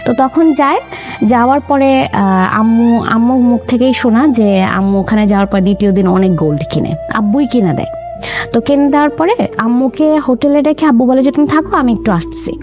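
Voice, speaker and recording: A woman's voice: fairly high pitch, a little fast, darkish tone. Young woman telling her family story. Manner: earnest storytelling with acted quotes of parents. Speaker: interview guest. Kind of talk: recounting a life story. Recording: music bed under the voice.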